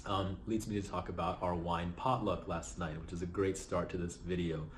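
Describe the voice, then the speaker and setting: Low-voiced man, tired and a bit raspy. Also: moderate pace, slight background noise. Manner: relaxed, reflective, keeps adding "right?". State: low energy, slightly raspy after a long night. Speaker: vlog host. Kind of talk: casual motivational vlog talk.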